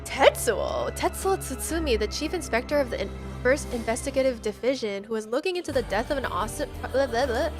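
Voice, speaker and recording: A woman with a fairly high voice streams a game, switching between reading text aloud and casual commentary. Her manner is excitable and slangy, and music plays clearly behind her. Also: brisk, few pauses.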